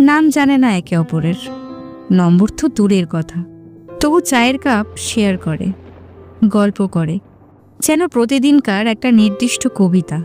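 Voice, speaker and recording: A woman narrates an audio story with a dark, mellow voice at an average pitch. Her delivery is poetic and measured, set over clear background music. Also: expressive.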